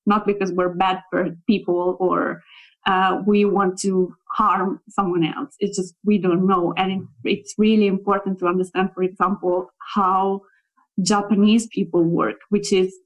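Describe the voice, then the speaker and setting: A woman's voice: mid-range voice, flat delivery, measured pace, low-quality recording. A young Italian woman, a native Italian speaker, talking about her work as a localization project manager in a casual interview, thoughtful and full of fillers and restarts. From Italy. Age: young adult.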